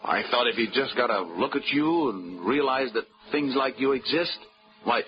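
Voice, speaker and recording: A man's voice: normal speed, natural voice. An actor playing a breezy, flirtatious male insurance investigator in a 1940s radio drama who talks in easy wisecracks. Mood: flirty. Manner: wisecracking, easygoing drawl with lively pitch. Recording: dull vintage radio broadcast with music.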